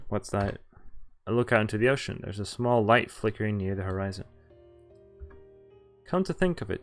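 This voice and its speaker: Male game streamer, lowish voice, casual read-aloud with self-corrections over faint music. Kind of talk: reading visual novel text aloud with casual asides.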